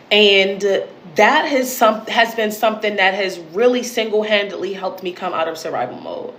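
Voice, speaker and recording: A woman with a deep voice, talking straight to camera in a blunt, emphatic monologue with plenty of swearing. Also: a little hiss or hum. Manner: blunt, emphatic and profane, with repeated punchy phrases. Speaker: online creator and educator. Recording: roomy echo.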